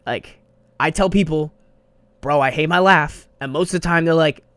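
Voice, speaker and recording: A man's voice: on the high side, normal speed, a bit bright, no room sound. Rambling young man with a slangy manner. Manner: rambling, slangy and profane, says bro a lot. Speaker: podcast host. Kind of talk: casual solo podcast monologue. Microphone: studio mic on a desk.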